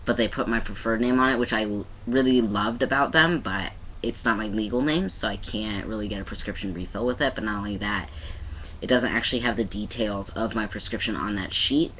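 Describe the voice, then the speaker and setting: A man's voice: fairly low pitch, fairly quick, sharp tone, slight background noise, low-quality recording. A young man talking casually to his camera in a personal video diary, rambling with many restarts and fillers. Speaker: vlogger. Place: indoors in front of a light.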